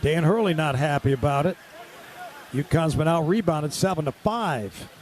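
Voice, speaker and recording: A man's voice: on the high side, moderate pace, a little mellow, a little hiss or hum. A man doing live radio-style basketball play-by-play in clipped, vivid running descriptions.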